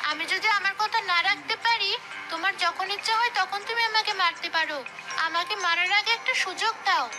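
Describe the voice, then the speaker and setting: A woman's voice: very high-pitched, brisk, over music. A woman voicing a young human girl in a cartoon, pleading and persuasive. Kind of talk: cartoon voice acting.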